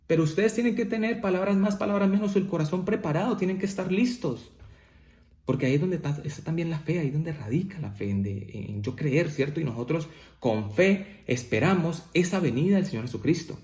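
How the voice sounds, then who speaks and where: A man's voice: fairly high pitch, animated, a little fast, a little mellow, roomy sound. A man preaching a family devotional sermon, often checking in with "¿cierto?" and rising to emphatic peaks. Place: church.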